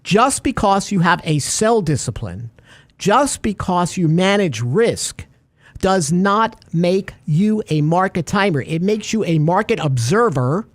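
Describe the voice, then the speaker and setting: A man's voice: sits high, measured pace, dry recording. A man hosting a radio talk show, chatting casually and acting out little stories as he goes. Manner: animated storyteller, acts out little scenes.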